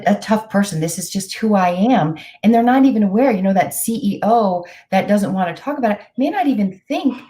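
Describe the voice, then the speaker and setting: A woman's voice: medium pitch, mellow. A woman hosting a video call interview, enthusiastic and supportive toward her guest. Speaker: host. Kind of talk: casual interview.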